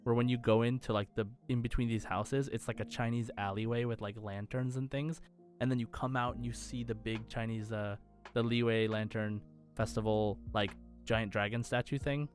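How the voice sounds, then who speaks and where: A man's voice: medium pitch, fairly even intonation, moderate pace, soft background music. A man co-hosting a gaming podcast in casual, enthusiastic, rambling chat. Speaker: podcast co-host and game streamer.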